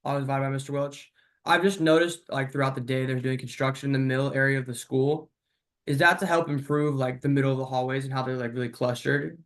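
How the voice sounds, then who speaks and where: A man's voice: mid-range voice, fairly even intonation, fast talker, fairly bright tone. A teenage male student asking a question at a town hall, hesitant and rambling with many "like"s. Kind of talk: casual questioning on a video call.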